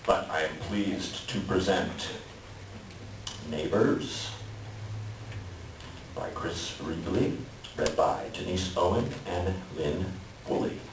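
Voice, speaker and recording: A man's voice: low voice, slow pace, long pauses. A man giving a chatty, wryly joking introduction to an audience as host of a play reading. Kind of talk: informal stage introduction to an audience. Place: library event room. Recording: echoey room, distant mic, clear background noise.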